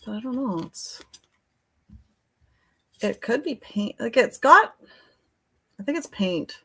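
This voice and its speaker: A woman's voice: on the high side, animated, slow pace, a bit bright, slightly rough. A woman chatting casually and playfully as a livestream host.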